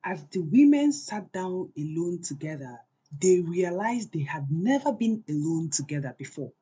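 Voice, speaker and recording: A woman narrating a scripted folktale as a storyteller, in a deliberate, dramatic way with pauses. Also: on the low side, big pitch swings, mellow, breathy edge, dull audio.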